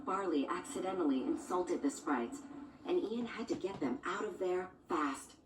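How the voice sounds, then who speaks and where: A woman's voice: on the low side, moderate pace, background noise. A woman reading a scripted children's storybook as narrator. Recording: echoey, distant, music behind.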